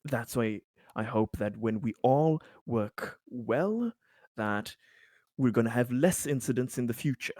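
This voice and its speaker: Guy playing long-winded colony boss in a tabletop rpg, earnest n pragmatic, halting but emphatic, dry close sound. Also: mid-range voice, lively intonation, darkish tone, no room sound, natural voice. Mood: earnest, exasperated. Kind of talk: improvised tabletop roleplay dialogue.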